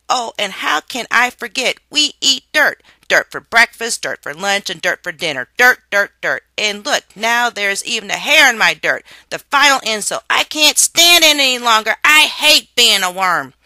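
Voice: mid-range voice, animated, moderate pace, pushed and bright, dry recording, pitched above the performer's own voice. A storybook reader voicing a small, whiny, melodramatic boy worm, screeching and ranting. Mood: furious ranting.

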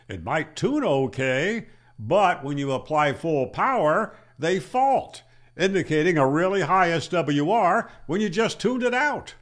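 A man over sixty giving an explanatory tutorial in an emphatic, deliberate manner, speaking into a close desk microphone. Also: a little high, fairly bright tone. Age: older, over 60. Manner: emphatic, with rhetorical questions and deliberate repeats. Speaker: amateur radio channel host. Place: small plain room indoors. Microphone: desk microphone on boom arm, close.

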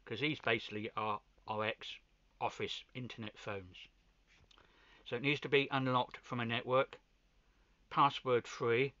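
A man's voice: on the low side, sharp tone, dry recording. A man giving a casual, unscripted equipment walkthrough as a hobbyist, often hesitating and repeating his words. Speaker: amateur radio hobbyist presenting a demo. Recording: dull, lo-fi sound.

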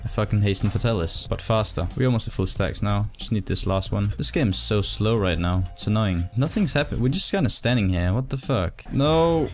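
Young guy from denmark doing sweary gameplay commentary, kinda low voice, music behind him. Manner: sweary, exasperated gamer talk. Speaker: gaming video host.

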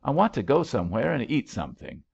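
A man's voice: medium pitch, huge pitch swings, fast talker, creaky, darkish tone, husky, voice pushed up, heavily acted. A man voicing a tired young mother in an audiobook story, plain and offhand. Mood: weary. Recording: slightly dull, lo-fi audiobook recording.

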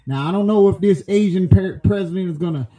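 Man playing a crude loudmouth country guy, rambling profane rant, very high voice, echoey room. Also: a little fast, soft background music, natural voice. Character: crude loudmouth rural American man voter.

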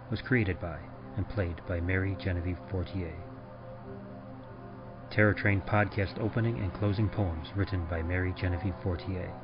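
Low male narrator reading credits, measured and formal, slightly dull audio, music under it. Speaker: podcast narrator. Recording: dull sound with music behind.

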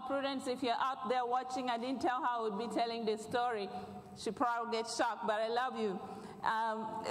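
A middle-aged Ugandan woman preaching from a church stage, her high voice carrying a fervent, repetitive prayer cadence. The sound rings with a long hall reverb, heard from a stage mic with faint room noise behind. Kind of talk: prayer and sermon.

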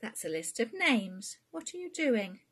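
A woman's voice: a little high, big pitch swings, unhurried, a bit bright, breathy edge, voice pushed up, heavily acted. A woman voicing a protective, affectionate mum in a storybook reading, firm and plain-spoken. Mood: suspicious, firm. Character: protective, affectionate working-class mum, middle-aged or older.

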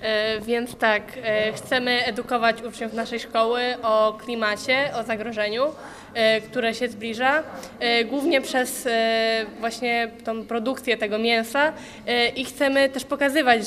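A teenage girl student explaining a school event in halting speech full of fillers, into a handheld mic. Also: a little high, steady tone, a bit bright, a little hiss or hum. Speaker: student interviewee. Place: school hallway. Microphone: handheld mic held by interviewer.

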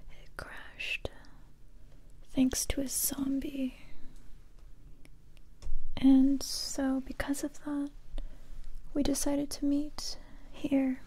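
A determined young female survivor, acted out by a woman questions a captive in an ASMR roleplay. The voice is a soft, breathy whisper, very mellow and unhurried. Each phrase is deliberate and softly stern, delivered close to the mic. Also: high voice. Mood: hushed, matter-of-fact. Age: young adult. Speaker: ASMR performer. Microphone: very close microphone.

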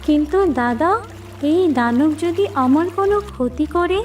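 Lady doing a tiny clever cartoon water animal, high and earnest, bit far off mic. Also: lively intonation, moderate pace, darkish tone. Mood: hesitant. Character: tiny clever water creature, eager, careful little helper. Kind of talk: animated fairy-tale cartoon voice acting. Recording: distant voice with music bed.

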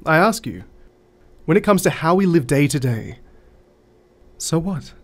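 A man's voice: fairly high pitch, expressive, unhurried. An actor playing a pragmatic male therapist in an audio drama, reflective and matter-of-fact. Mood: reasoning. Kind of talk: conversational audio drama acting.

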